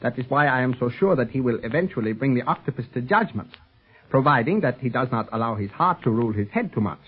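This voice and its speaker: A composed, courteous secret police agent in an old 1930s radio adventure. He speaks formally and carefully in a slightly rough, medium-pitched male voice. The sound is narrow and tinny. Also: lively intonation. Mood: assured, formal. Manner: formal, measured, avoids contractions. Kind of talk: 1930s radio serial acting.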